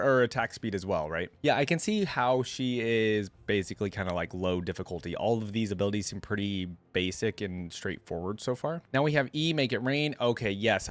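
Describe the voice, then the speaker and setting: A man's voice: fairly low pitch, no room sound. A man hosting a reaction video, chatting casually as he comments on game lore and abilities.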